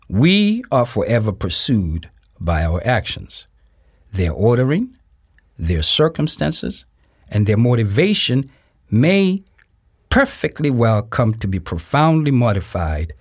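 A man playing a grave narrator of a historical radio play, reading scripted narration in a measured, deliberate, formal way with pauses. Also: mid-range voice, big pitch swings, husky, dry recording, studio quality, heavily acted. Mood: grave, reflective. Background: music.

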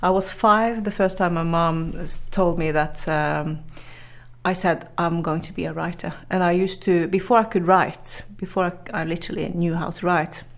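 A woman from Norway with a Norwegian accent, a journalist and author telling her story in an interview, chatty and quick to laugh. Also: fairly low pitch, fairly even intonation. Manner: chatty, laughs often, many fillers and restarts.

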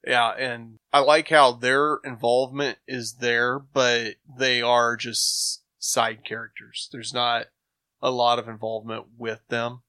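A man in casual chat, rambling enthusiastically with thinking pauses. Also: medium pitch, level tone, sharp tone, smooth, no room sound. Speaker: podcast host.

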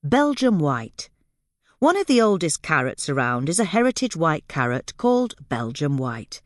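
Female narrator for scripted gardening podcast: animated pitch movement, measured explanatory read, very dry close sound. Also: dry recording.

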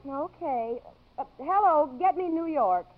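High-pitched, wisecracking secretary. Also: female voice, expressive, a little slow, phone-quality sound. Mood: wry, businesslike. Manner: breezy, wry, informal. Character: wisecracking, breezy female secretary and loyal right hand. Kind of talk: 1950s radio comedy-drama acting. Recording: thin, band-limited vintage radio recording.

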